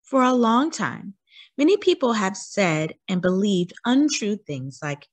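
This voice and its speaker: A woman reads a picture book aloud for young children. Her medium-pitched voice has a husky edge and swings widely in melody. She is expressive and often turns to her little listeners with a question. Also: unhurried, no room sound. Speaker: read-aloud storyteller for children.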